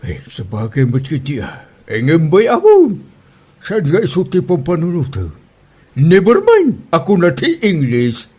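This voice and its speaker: A man's voice: fairly high pitch, huge pitch swings, a little slow, warm tone, slightly rough, full character voice. A man playing a good-natured Filipino village father in a comedy drama, talking in a colloquial, exclaiming way. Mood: amused. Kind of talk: Ilocano comedy radio drama acting.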